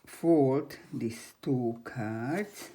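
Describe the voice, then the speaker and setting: This is an older woman in her seventies presenting a craft tutorial. Her voice sits extremely low for a woman and has a dark, mellow tone. She speaks slowly in halting, non-native English, with faint noise in the background. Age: 70s. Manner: halting non-native English with simple grammar, chatty and unhurried. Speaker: crafting channel host. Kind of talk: scrapbook craft tutorial, showing an album.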